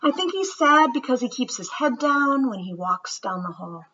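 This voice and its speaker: A woman reading a picture book aloud as a storyteller for children, with expressive narration and deliberate, dramatic phrasing that drops into hushed softness. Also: sits high, dull audio, a little hiss or hum.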